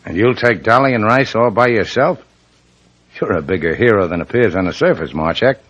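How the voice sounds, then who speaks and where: An actor playing a tough, laconic, sardonic boat skipper with an American accent, in the style of old radio drama. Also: male voice, on the low side, animated, brisk, fairly bright tone, dull audio. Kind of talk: 1940s-50s American radio drama acting.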